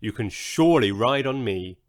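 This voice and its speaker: A man voicing a gentle, friendly storybook unicorn with a courteous way of talking. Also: mid-range voice, expressive, normal speed, no room sound, clean studio sound, pitched below the performer's own voice, natural voice. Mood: grateful;.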